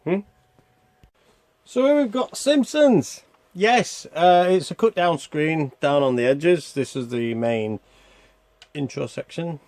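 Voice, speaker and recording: A man's voice: fairly high pitch, animated, slow pace, pauses often, darkish tone. A man giving casual, chatty commentary over gameplay, thinking aloud and asking himself questions as a reviewer. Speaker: video game reviewer.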